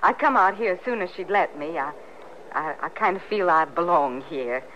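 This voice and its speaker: Casting: forceful-toned young American homesteader, plainspoken and hospitable yet guarded, old radio western, dull sound with faint noise. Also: female voice, lowish voice, expressive, normal speed. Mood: earnest, welcoming. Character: guarded but hospitable young frontier woman. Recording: muffled vintage radio recording with noise.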